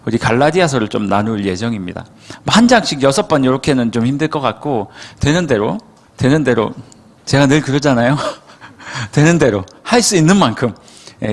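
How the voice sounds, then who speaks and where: A man from Seoul, a youth pastor, preaching a sermon in a relaxed, conversational way and often checking that listeners agree. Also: medium pitch, brisk. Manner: conversational, asks the room for agreement. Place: church hall. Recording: long hall reverb.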